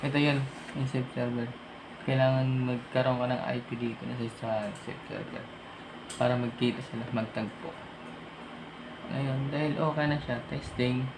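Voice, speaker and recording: A man's voice: medium pitch, steady tone, normal speed. A Filipino man presenting an informal hands-on tech tutorial, thinking aloud as he goes step by step. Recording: noisy amateur room recording.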